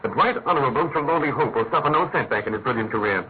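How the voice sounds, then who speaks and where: An actor playing a shrewd, imperious master detective in a classic radio drama, incisive and urgent. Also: male voice, mid-range voice, expressive, racing, close to an everyday voice. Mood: confident. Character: brisk, commanding, shrewd male master detective. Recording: tinny, narrow-band old radio, faint noise.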